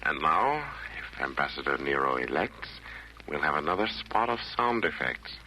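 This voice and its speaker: A deep, jaunty announcer from the underworld, chats in rhyme with mock-newsreader patter. It is 1940s radio comedy, with clear noise from the old recording. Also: male voice, very animated, normal speed, sharp tone, heavily acted, poor audio. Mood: wry, explaining. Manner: chatty, wisecracking, mock-newsreading. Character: jaunty male announcer reporting from hell.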